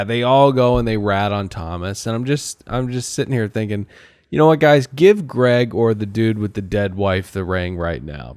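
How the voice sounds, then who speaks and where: Low-voiced sarcastic man, close and dry. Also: a little mellow, clear, resonant tone, dry recording. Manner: sarcastic, mocking. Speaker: podcast co-host. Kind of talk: casual comedic TV recap chat.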